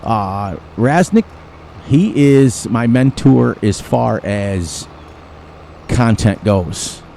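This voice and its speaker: A mellow, relaxed man rambles through casual commentary for his gaming channel. He wanders off on tangents and laughs lightly at himself along the way. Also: mid-range voice, drawn-out words, vocal fry, a little hiss or hum. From United States. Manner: rambling, chatty, chuckles often.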